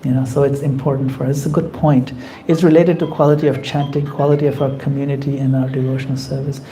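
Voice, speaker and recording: A man leading a devotional class, answering questions from the group in an earnest, gently advising way. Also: medium pitch, flat delivery, fast, a little hiss or hum, low-quality recording. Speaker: class leader taking questions. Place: community temple class.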